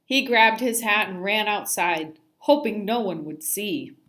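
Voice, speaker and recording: A woman's voice: mid-range voice, lively intonation, a little slow, a bit bright. A woman narrating a children's story aloud expressively, like a teacher reading to a class.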